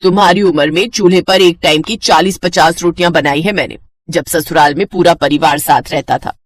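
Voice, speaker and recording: A woman's voice: lowish voice, fairly even intonation, a little fast, no room sound, natural voice. A woman acts out an exasperated middle-aged mother in a moral story cartoon. She chides in a practical, nagging way that stays fond. The sound is dry. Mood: nagging, chiding. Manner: chiding, nagging. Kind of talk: animated Hindi moral story dialogue.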